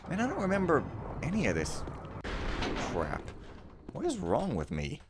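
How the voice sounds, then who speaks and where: A man's voice: on the high side, wildly swooping pitch, normal speed, background noise. A young man giving casual, wry, rambling commentary while playing a game. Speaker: let's player.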